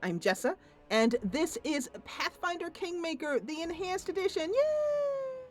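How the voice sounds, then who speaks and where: A woman hosting a gameplay channel, chatting casually and excitably as she comments on the game. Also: very high voice, unhurried.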